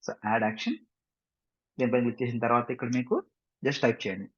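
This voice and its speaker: A man with an Indian accent giving an informal step-by-step software tutorial as instructor, mixing in English phrases and often checking understanding with short questions. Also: mid-range voice, quick pace, breathy edge. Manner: mixes English phrases into his explanations. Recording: dull, muffled lo-fi microphone.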